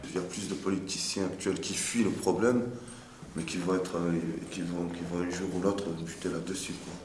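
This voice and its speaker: A man with a deep voice speaks spontaneously, as if arguing a point in an interview. He repeats words and throws in rhetorical questions. The sound is echoey with faint noise behind him. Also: steady tone, moderate pace. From France. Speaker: street interviewee. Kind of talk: casual, animated street talk.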